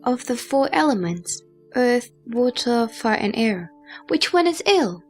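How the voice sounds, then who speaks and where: A woman playing an earnest bodhisattva who puts formal, measured questions to a sage in a scripture audio drama. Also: fairly high pitch, big pitch swings, faint music behind. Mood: earnest, inquiring.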